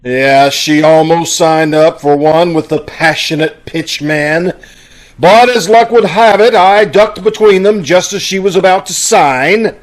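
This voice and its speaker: A man's voice: a little high, unhurried, natural voice. A man playing a pompous, blustering, easily flustered school principal in an old-time radio sitcom, with a spluttering delivery. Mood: pompous, indignant. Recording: amateur video-call radio play recording.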